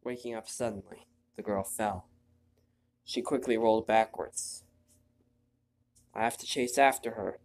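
Male let's-play host, stuffy nose, casual commentary, quietly reads game text. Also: a little slow, pauses often, slight background noise. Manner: relaxed, chatty, reads story text in a quiet low voice. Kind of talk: casual gameplay commentary, reading on-screen text aloud.

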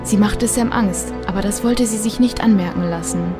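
A woman's voice: medium pitch, normal speed, music in the background. A woman narrating a radio play for children in a scripted storytelling style.

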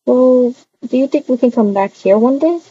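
A woman improvising roleplay dialogue for a video game in a hesitant, halting way with many hums. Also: high-pitched, mellow, husky. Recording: dull muffled audio, faint noise.

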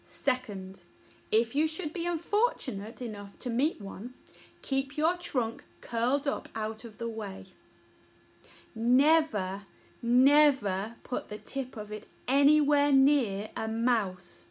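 Woman as a gentle, slow-talking mother elephant. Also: a little high, lively intonation. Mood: solemn, explaining. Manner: gentle, solemn, slow explaining.

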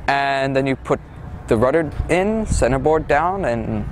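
A man's voice: medium pitch, animated, unhurried, noisy background. A teenage boy, a student sailor, explaining the sport in a matter-of-fact way outdoors on a sports field. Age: teens. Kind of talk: interview answers.

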